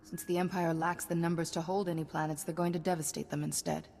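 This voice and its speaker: A brisk, confident Jedi hero. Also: female voice, lowish voice, flat delivery, vocal fry, darkish tone, long reverb tail. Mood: resolute. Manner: brisk, self-assured. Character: composed female Jedi hero. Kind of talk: video game cutscene acting.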